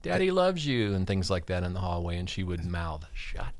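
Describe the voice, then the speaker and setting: A man in his fifties with a deep voice, an elementary school teacher chatting as a podcast guest and joking about himself in a dry way. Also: very animated, moderate pace, vocal fry, dry recording. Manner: dry, self-mocking joking. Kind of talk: casual podcast chat.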